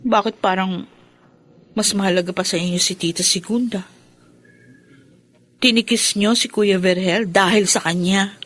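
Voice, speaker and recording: An actress playing an earnest, questioning grown daughter in a Filipino radio drama. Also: female voice, medium pitch, fairly even intonation, unhurried, long pauses, a bit bright. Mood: hurt, questioning.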